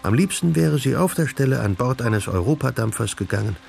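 A literary storyteller in a radio drama, with a medium-pitched, creaky voice. He narrates in a measured, composed way over soft music. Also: animated.

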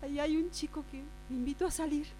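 Woman playing a hushed, high, gossipy girlfriend. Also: steady tone, quick pace, lightly acted. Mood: secretive, excited. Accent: Argentine. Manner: hushed, urgent confiding. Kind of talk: improvised radio drama workshop. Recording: mains hum noise.

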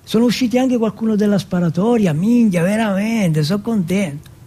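A man voicing an excitable, streetwise teenage boy in a stage monologue, slangy, insistent and full of swearing. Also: high voice, normal speed, breathy edge, voice pushed up. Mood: swaggering, animated. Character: excitable, foul-mouthed streetwise teenage boy from housing projects.

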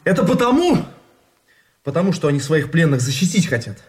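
An actor playing a high-strung, nervous soldier held captive in a radio drama, excitable in delivery. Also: male voice, brisk. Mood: agitated, bitter. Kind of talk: Russian radio drama acting.

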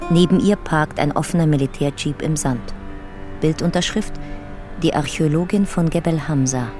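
An actress playing a narrator who describes old photographs in a clipped, measured, composed radio drama style. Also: female voice, low-pitched, over music, natural voice.

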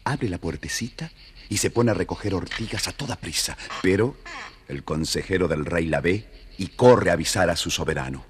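A man narrating a scripted children's fairy tale as an expressive, dramatic storyteller. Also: low-pitched, moderate pace, a little hiss or hum.

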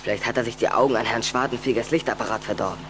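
A woman's voice: rumbling low, racing, background noise. An actress playing an awkward, earnest young boy in a radio play, with a hesitant delivery. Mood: jealous, insistent. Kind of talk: German radio play acting.

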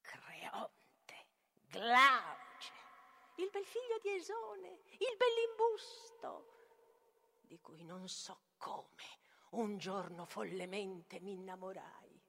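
A woman's voice: mid-range voice, lively intonation, extremely slow, creaky, pushed and bright, slight background noise, full character voice. A woman playing a tormented sorceress bent on annihilation, declaiming a theatrical tragic monologue in a volatile manner. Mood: haunted, confessing. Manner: declamatory, volatile, swings from whispers to cries.

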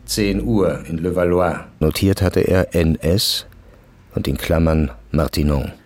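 An actor playing a terse, sober police chief of a drug squad in a German crime radio drama. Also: male voice, deep voice, fairly even intonation, unhurried, darkish tone. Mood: sober, determined. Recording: music behind the voice.

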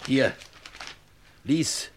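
An actor playing a blunt, sardonic film star's sidekick in a radio crime drama, with a husky voice and dry, insistent delivery. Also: male voice, extremely slow, slight background noise.

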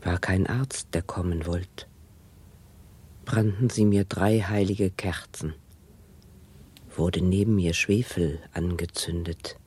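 A man's voice: low voice, fairly even intonation, slow, pauses often, a little mellow, husky, exaggerated voice. A man voicing a plague-dead parent who solemnly and laconically recounts death and burial in a radio play. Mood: mournful, resigned.

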